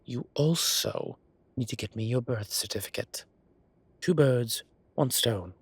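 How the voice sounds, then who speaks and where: A man playing a fatherly, whimsical old boss, unhurried. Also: medium pitch, slightly rough, no room sound. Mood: firm, fatherly. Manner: theatrical, fond, old-fashioned turns of phrase. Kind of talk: scripted audio roleplay drama.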